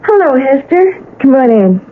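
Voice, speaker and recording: An actress playing a calm, stubborn eighteen-year-old daughter, composed and matter-of-fact, in a 1970s radio drama. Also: female voice, high-pitched, moderate pace, phone-quality sound. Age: young adult. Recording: noisy, band-limited old radio recording.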